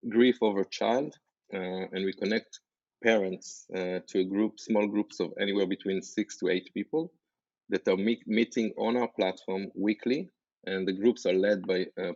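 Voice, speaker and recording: An Israeli-accented man with a notably low voice speaks earnestly as a podcast guest, stopping and restarting his sentences and leaning on fillers. The audio is dry and a little muffled. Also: fairly even intonation, moderate pace, a little mellow, dry recording. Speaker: startup founder, guest. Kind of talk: podcast interview.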